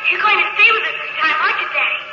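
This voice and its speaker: A performer playing an eager, sensitive little boy who chatters excitedly in an old-time radio drama. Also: very high-pitched, very fast, very noisy. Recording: muffled old radio, loud music behind.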